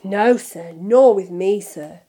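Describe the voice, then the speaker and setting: A woman's voice: medium pitch, slow, warm tone. A woman putting on an oily fawning shopkeeper. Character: oily obsequious male shopkeeper.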